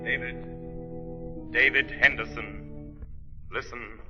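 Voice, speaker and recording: A man's voice: mid-range voice, fairly even intonation, drawn-out words, over loud music. A man playing a mysterious, commanding unseen avenger in a dramatic, ominous 1930s radio style. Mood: urgent, commanding. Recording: muffled old 1930s radio recording.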